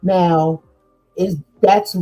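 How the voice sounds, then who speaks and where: A woman hosting a podcast, chatting slowly and loosely with lots of fillers. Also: lowish voice, fairly even intonation, warm tone, soft background music. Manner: slow, drawn-out, chatty with many fillers. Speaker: podcast host. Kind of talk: casual chat.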